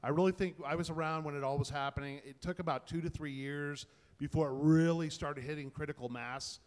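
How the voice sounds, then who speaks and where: Male panelist, colloquial and keen, slightly high pitch, recorded in a live reverberant space. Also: fairly even intonation, fairly quick. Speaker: panelist, tech content creator. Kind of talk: casual panel talk. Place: indoor event space before a small audience. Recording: reverberant room, panel event.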